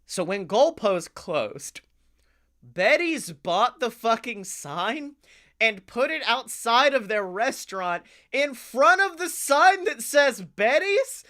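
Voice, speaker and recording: An American man co-hosting a comedy podcast, telling stories casually in an animated, sweary way with chuckles in his speech. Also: very high-pitched, takes it slowly. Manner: animated, swearing, often chuckling while talking. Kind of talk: casual comic storytelling.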